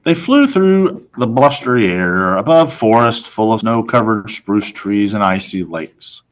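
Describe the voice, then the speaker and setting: A man with a fairly low voice narrates a storybook for children. He reads dramatically and leaves deliberate pauses for effect. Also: sing-song, lo-fi sound. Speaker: storybook reader.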